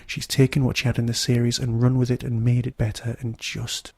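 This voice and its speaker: Male podcast reviewer, gravelly low-key warmth in the tone, dry close recording, wry emphatic delivery. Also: monotone, brisk, no room sound. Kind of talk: solo podcast review monologue.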